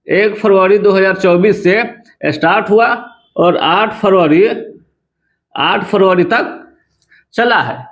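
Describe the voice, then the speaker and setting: A man with an Indian accent presenting an informational explainer to viewers, often repeating phrases for emphasis. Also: sits high. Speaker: presenter of exam news videos. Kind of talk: informational explainer to camera or voice-over, addressing viewers.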